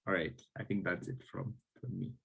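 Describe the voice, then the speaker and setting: Young man, panelist, deep husky voice. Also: flat delivery, moderate pace, a bit bright. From Kenya. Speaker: debate panelist. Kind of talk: casual panel discussion.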